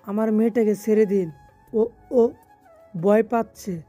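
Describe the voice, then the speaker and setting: A man's voice: high voice, fairly even intonation, a little slow, mellow. A man playing a desperate, submissive middle-aged father in a melodramatic audio story, pleading and stammering. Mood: frightened, begging. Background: background music.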